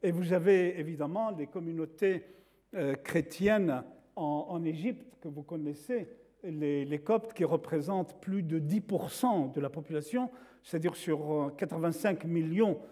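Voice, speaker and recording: A man from northeastern Syria whose first language is Syriac lays out his points in a measured, explanatory way. His voice sits a little high but sounds mellow and warm. He often repeats a word before moving on. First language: Syriac (Aramaic). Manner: measured, explanatory, repeats words while searching. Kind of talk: lecture-like talk.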